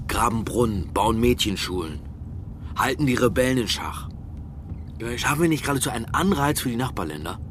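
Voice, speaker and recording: A man acting a middle-aged, self-righteous politician in a radio crime drama, drunk and volatile. His pitch swings widely as he rambles and stammers pompously. Loud car noise sits behind him. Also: lightly acted. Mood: lecturing. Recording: noisy car interior ambience.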